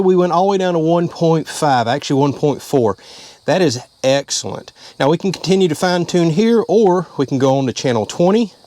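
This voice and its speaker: A man's voice: a little high, darkish tone, a little hiss or hum. A man, talking through a hands-on how-to in a casual, plain-spoken way from inside a vehicle. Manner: plain-spoken, step-by-step explaining. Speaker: channel host.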